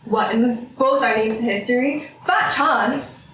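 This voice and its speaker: A woman's voice: a little high, unhurried, a bit bright, distant mic. A woman playing a proud, combative mathematician, sardonic and theatrical, in stage-style dramatic dialogue. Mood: defiant. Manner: sardonic, argumentative, theatrical, sweary. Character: proud, combative woman mathematician, sore loser, bickering with rival. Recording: echoey room, off-mic, faint noise.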